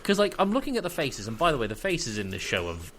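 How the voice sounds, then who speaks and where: A man's voice: mid-range voice, wildly swooping pitch, fast, fairly bright tone. A man co-hosting a casual, opinionated review chat, given to casual swearing. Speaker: podcast co-host. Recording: clear background noise.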